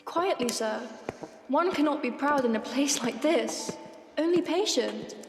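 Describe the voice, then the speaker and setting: A young woman acting a gentle, timid, selfless prisoner's daughter in a period audio drama, meek and earnest. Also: sits high, animated, unhurried. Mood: quiet, wistful. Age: young adult. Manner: soft-spoken, meek, earnest. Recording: echoey hall reverb, faint music.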